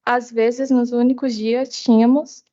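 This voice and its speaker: A woman's voice: on the high side, little pitch movement, normal speed, mellow. A teenage girl, a native Portuguese speaker, giving testimony as a student in short phrases. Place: committee hearing. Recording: dull, muffled audio.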